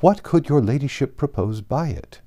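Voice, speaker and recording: A man voicing a composed young gentlewoman in an audiobook, politely unyielding, with a measured, cool edge. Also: medium pitch, animated, pitched above the performer's own voice, lightly acted. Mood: cool disdain. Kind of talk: audiobook character voice.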